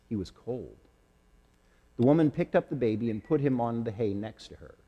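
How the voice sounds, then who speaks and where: A man reading a children's story aloud in a slow, dramatic storytelling style. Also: mid-range voice, mellow, slightly rough, dull audio. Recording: echoey hall with long reverb.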